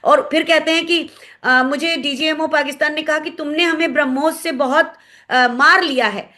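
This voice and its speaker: A woman with an Indian accent giving analytical commentary in an interview, explaining things and pausing to search for words. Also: high voice, steady tone, bright, forceful tone. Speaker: guest commentator, former pilot.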